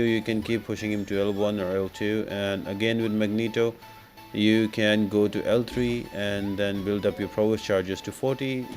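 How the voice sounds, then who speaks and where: A man's voice: on the low side, a little mellow. A man giving casual, explanatory walkthrough commentary as the host of a gaming guide. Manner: explanatory, instructional. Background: music.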